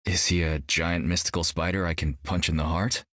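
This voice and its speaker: A man's voice: sits low, fairly even intonation, normal speed, fairly bright tone, no room sound, clean studio sound. A young actor playing a brash, cocky male martial artist in a video game, joking and upbeat. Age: young adult.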